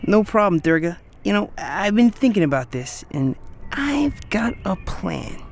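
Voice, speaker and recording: An actor playing a cocky, scheming teenage boy in a radio drama, brash, slangy and excitable. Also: high voice, unhurried, creaky, slight background noise, full character voice. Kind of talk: naturalistic radio drama acting.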